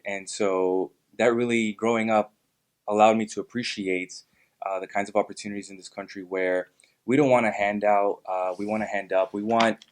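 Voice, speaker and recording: A young man from Miami answering interview questions in a measured way, with frequent ums and uhs. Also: lowish voice. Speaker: guest, political club officer.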